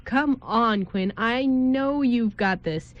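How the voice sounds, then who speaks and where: A woman's voice: a little high, takes it slowly, dull audio. A woman voicing a teasing, encouraging male groom and loyal buddy in an amateur radio play, playful and reassuring.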